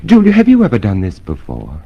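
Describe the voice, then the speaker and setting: A man's voice: on the low side, wildly swooping pitch, fairly quick, slightly rough, muffled recording, heavily acted. An actor playing a weary, yearning middle-aged man, a secret rebel in love, in mid-century radio drama style. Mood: eager, intimate. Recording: noisy vintage radio recording.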